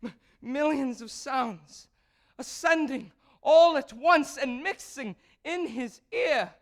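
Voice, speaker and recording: A woman playing an excitable young male composer on stage, stammering and giggly in theatrical bursts. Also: sits high, slow pace, heavily acted. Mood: rapt, building.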